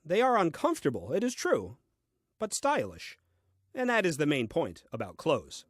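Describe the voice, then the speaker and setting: A man's voice: on the high side, wildly swooping pitch, moderate pace, dry recording. An actor playing a grumbling, self-important first man reading his diary in a comic audio play, with dry, fussy delivery. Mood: dryly pompous.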